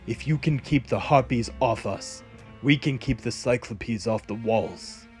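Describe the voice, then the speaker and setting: A man's voice: mid-range voice, measured pace, a little mellow, soft background music, natural voice. A man voicing commanding, resolute soldiers of an ancient Greek fantasy in an audio drama, declaiming urgent orders. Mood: grave, resolute.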